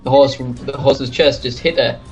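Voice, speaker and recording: A man's voice: mid-range voice, fairly even intonation, measured pace, darkish tone, muffled recording, soft background music. A young man talking casually as a co-player during a game. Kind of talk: casual chat while playing a game.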